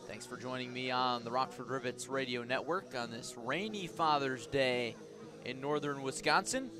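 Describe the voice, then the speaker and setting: A man's voice: medium pitch, normal speed, music in the background. A man doing live baseball play-by-play for radio, describing the action in clipped, descriptive phrases. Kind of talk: live radio sports commentary.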